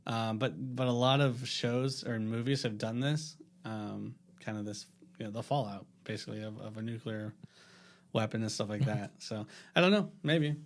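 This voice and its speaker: A man co-hosting a podcast in casual, slangy banter with friends. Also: mid-range voice. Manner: informal, says dude and bro often. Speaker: podcast co-host.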